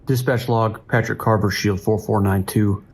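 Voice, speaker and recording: An actor playing a weary, steady New York police dispatcher in an audio drama, speaking in a measured, procedural way. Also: male voice, fairly low pitch, breathy edge. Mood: weary, grim.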